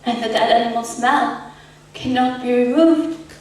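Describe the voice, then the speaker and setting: Slow, echoey, haunted woman survivor, acted on stage. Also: a little high, fairly even intonation, darkish tone, natural voice. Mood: haunted, subdued. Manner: halting, fragmented, dramatic phrasing. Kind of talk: poetic stage monologue. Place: echoey indoor performance space, distant mic. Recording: echoey hall from far off, faint noise.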